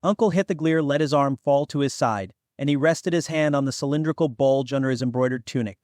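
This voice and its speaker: A man's voice: mid-range voice, a little fast, a little mellow, no echo. Male fantasy audiobook narrator, dry recording.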